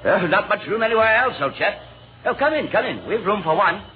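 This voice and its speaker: Breezy lieutenant, crackly old radio. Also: male voice, sits high, animated, brisk, phone-quality sound. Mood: hearty. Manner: hesitant, chummy, slangy military bonhomie. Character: breezy, good-natured Australian Light Horse lieutenant. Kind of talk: old-time radio drama acting. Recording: thin, lightly noisy old radio recording.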